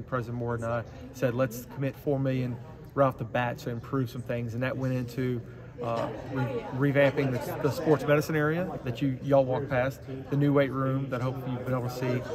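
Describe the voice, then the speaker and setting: A man gives measured, businesslike answers to a ring of reporters. His voice sits at a middling pitch. The chatter of a busy ballroom crowd runs steadily behind him. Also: little pitch movement. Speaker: athletic director. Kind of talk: press scrum answers. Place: large carpeted hotel ballroom, indoors. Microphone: handheld phone camera up close.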